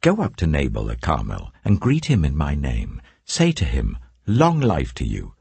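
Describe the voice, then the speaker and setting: An older man voicing an outlaw leader who sends courteous greetings, in a very low, gravelly voice. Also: a little mellow, no room sound, exaggerated voice.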